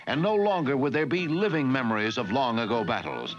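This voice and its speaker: A man narrates a documentary newsreel in a scripted, measured register. His pitch is medium, and the sound is slightly dull, with prominent music behind him. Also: animated. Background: loud music.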